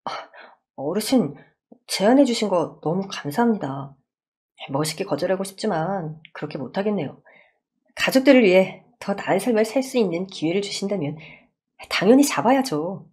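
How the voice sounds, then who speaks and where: A woman's voice: medium pitch, animated, normal speed, a little mellow, lightly acted. A woman voicing a humble, grateful working husband in a radio story, polite, hesitant and earnest.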